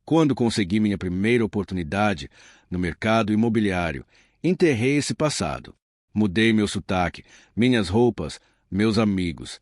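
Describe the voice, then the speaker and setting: A man's voice: lowish voice, expressive, darkish tone, dry recording, clean studio sound, lightly acted. A man reading a repentant, humbled businessman. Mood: reflective. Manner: reflective, measured.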